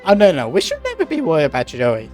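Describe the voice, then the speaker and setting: Very animated, lowish-voiced woman gamer. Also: fast. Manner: playful, joking asides. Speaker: streamer playing a game. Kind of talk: casual gameplay commentary. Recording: game music behind the voice.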